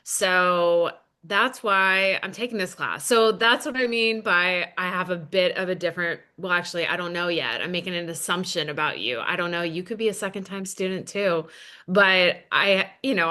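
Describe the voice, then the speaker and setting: A woman's voice: lowish voice, moderate pace, sharp tone, clean tone. A woman chatting informally in a video-call interview, often correcting herself as she explains. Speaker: adult college student.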